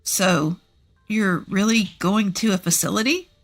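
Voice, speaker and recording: A woman's voice: medium pitch, unhurried, soft background music. A woman voicing a greedy, sullen, impatient adult son in a narrated family story. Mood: brusque.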